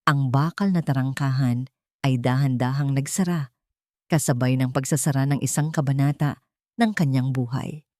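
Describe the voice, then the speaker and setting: Low-voiced woman narrating a dramatic story. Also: a little mellow, dry recording, clean studio sound.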